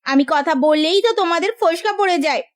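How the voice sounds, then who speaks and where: A woman's voice: extremely high pitch, quick pace. A woman playing a haughty, spiteful, melodramatic sister-in-law in a cartoon. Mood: scornful. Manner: scornful, theatrical.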